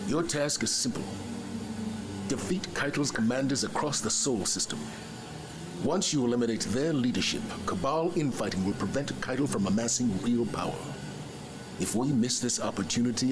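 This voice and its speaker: An actor playing a solemn military commander in a video game, giving a briefing in a measured, authoritative way. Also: male voice, mid-range voice, noisy background, natural voice.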